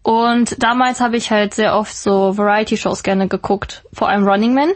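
A woman's voice: medium pitch, fairly even intonation, moderate pace. A woman in her mid twenties from Germany's Ruhr area, chatting casually as an interview guest and mixing in English words. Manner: informal, mixes in English words. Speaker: interview guest, YouTuber and presenter. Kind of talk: casual chat.

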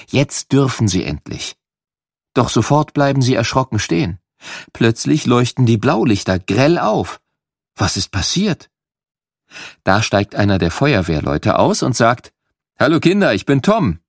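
A man narrating a scripted children's story in a playful, animated way. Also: medium pitch, a little slow, vocal fry, no echo, studio quality.

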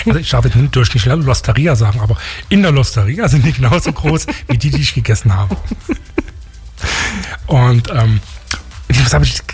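A man's voice: mid-range voice, darkish tone. A man from Leipzig with a Saxon German accent and a slight lisp, chatting casually on air as a radio host, rambling and poking fun at himself. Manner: rambling, self-mocking, many restarts.

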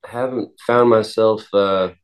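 Young man, deep low voice, hesitant, lots of ums, casual interview talk. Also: steady tone, clean tone. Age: 20s. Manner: halting, many ums and restarts. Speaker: singer-songwriter guest.

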